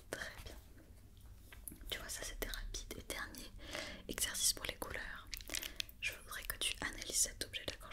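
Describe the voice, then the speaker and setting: Whispering woman, ASMR doctor roleplay. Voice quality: soft breathy whisper. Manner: patient, methodical, repeating instructions and questions. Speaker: ASMR performer playing a doctor examining a robot.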